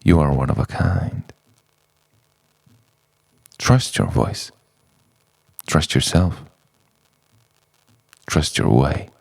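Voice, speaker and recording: A man delivers introspective spoken-word poetry as a monologue, in a dramatic, deliberate way with pauses between phrases. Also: a bass voice, vocal fry, no echo, rough recording. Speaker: poet.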